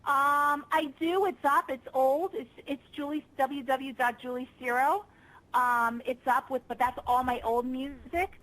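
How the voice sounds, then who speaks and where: An American woman with a fairly high-pitched voice talks as a guest in a casual interview. She is chatty and easygoing in her phrasing, in the manner of someone happy to keep a conversation going. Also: steady tone, unhurried, fairly bright tone, rough recording. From Staten Island, New York, United States. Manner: chatty, prone to laughing. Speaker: pop singer, interview guest.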